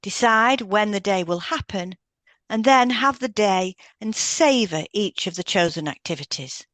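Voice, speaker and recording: A woman's voice: medium pitch, lively intonation, a little slow, fairly bright tone, dry recording. A woman co-hosting a podcast, reading out step-by-step instructions from a script with brief asides. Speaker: podcast co-host, hypnotherapist.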